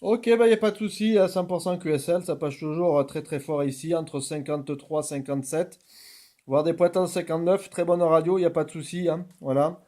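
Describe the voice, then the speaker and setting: A man's voice: a little high, fairly even intonation, a little fast, dark tone. A man making radio contact, courteous, with procedural call-outs and stock phrases. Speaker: amateur radio operator. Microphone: radio's original microphone.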